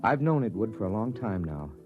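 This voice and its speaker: A man's voice: lowish voice, big pitch swings, a little fast. An actor playing an anxious man who narrates his story earnestly, in a 1950s radio drama. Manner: earnest first-person narration. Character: anxious man writing a confession. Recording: dull lo-fi radio with soft music.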